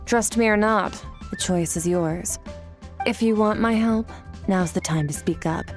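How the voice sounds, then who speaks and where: An actress playing a cool, detached female swordmaster in a game, speaking in terse, measured lines. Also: medium pitch, faint music behind, close to an everyday voice. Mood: aloof. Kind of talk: game dialogue.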